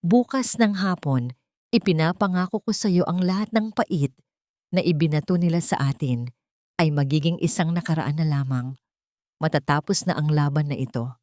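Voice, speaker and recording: A woman voicing a gentle, resolute elderly mother-in-law in a story, tender and reassuring. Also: deep voice, normal speed, dry recording, studio quality, close to an everyday voice.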